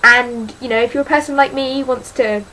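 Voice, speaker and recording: A woman's voice: fairly high pitch, fairly even intonation, a little fast, pushed and bright, poor audio. A teenage girl chatting casually about books in a vlog, rambling and hesitant, full of ums and sort-ofs, self-deprecating. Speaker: book vlogger.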